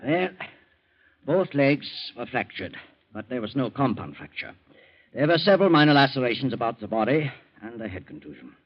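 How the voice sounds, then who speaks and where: A muffled vintage radio recording presents a husky male autopsy surgeon. His pitch swings widely as he gives deliberate, frank clinical explanations in dramatic radio style. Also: sing-song. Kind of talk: 1940s radio acting.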